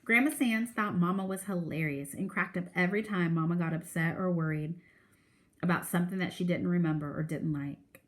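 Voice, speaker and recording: A woman's voice: on the low side, fairly quick. A woman reading a children's novel aloud as a teacher would for a class, expressively and with character voices.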